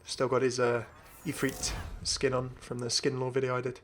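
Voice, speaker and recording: A man's voice: mid-range voice, monotone, normal speed, soft background music. Chatty man hosting a gaming video. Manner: chatty, musing aloud, asks himself questions. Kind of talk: casual off-the-cuff commentary on game cosmetics.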